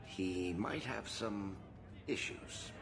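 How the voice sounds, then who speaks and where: A man's voice: on the low side, drawn-out words, creaky, over music, full character voice. A man acting a roguish, confident, wisecracking friend in a video game, with a wry, teasing delivery.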